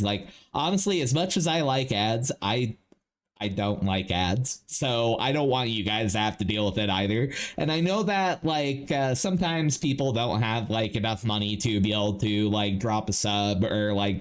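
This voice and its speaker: A man's voice: fairly low pitch, smooth. A man streamer chatting casually to his viewers in a rambling, slangy way with lots of filler words. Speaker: streamer hosting a reaction stream. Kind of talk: casual livestream chat with viewers.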